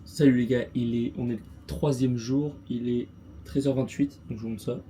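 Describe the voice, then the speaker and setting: Rich: Sound from a small handheld camera mic in a hot, busy city street, with clear traffic and ambience behind a man chatting freely to his viewers. He speaks at a middle pitch and talks loosely, with slang and self-mocking asides, as he vlogs through his day. He uses plenty of fillers and keeps a casual, unscripted flow. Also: fairly even intonation, normal speed, dark tone. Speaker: travel vlogger. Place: mostly outdoors in sunny, busy city streets. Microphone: small handheld gimbal camera mic.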